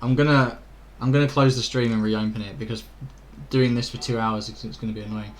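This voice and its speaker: A man's voice: medium pitch, steady tone, fairly quick, rough recording. A British man live-streaming a video game, chatting casually to viewers in an informal, rambling way with some mild swearing. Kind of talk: casual chat to viewers during gameplay. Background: faint game ambience.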